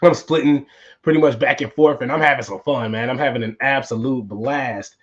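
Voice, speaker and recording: A man hosting a solo wrestling recap podcast, tired with a voice going hoarse, talking in a casual, rambling way that repeats itself. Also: mid-range voice, moderate pace, lo-fi sound. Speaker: podcast host.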